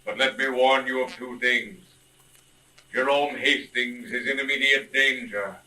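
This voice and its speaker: An unseen, ominous avenger in a 1930s radio serial. The male voice is very sharp and piercing, set away from the mic, and makes solemn, formal pronouncements. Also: mid-range voice, fairly even intonation, a little slow. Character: mysterious, commanding disembodied male voice, ominous avenger. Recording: distant voice, old 1930s radio recording.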